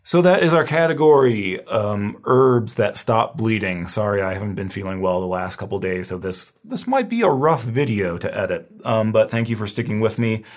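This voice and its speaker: A man's voice: on the low side, moderate pace, hardly any pauses. A man with a scratchy voice that is giving out, teaching a review lesson in a loose, rambling way with lots of fillers. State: losing his voice, scratchy. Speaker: herbal medicine instructor. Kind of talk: casual lecture review.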